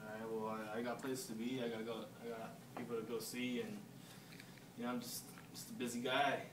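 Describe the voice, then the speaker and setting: A man's voice: medium pitch, little pitch movement, a little fast, close to an everyday voice. A young man acting an impatient boyfriend at a hospital bedside, restless and stammering. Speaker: patient's boyfriend in a student skit. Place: hospital training lab. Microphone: far camera. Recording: echoey room from far off, noisy.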